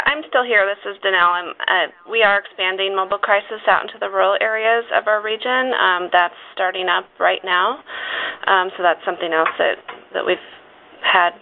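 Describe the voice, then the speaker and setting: A woman's voice: medium pitch, steady tone, normal speed, creaky. A woman giving an informal update as a program staffer on a conference call by telephone. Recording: dull, faintly noisy phone line.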